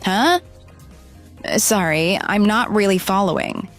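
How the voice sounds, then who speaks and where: A woman's voice: medium pitch, measured pace, fairly bright tone, faint music behind, lightly acted. Flustered, defensive runaway bride, acted by a woman. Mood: nervous pleading. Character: deceitful runaway bride, pleading, defensive and indignant. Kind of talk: dramatized phone dialogue.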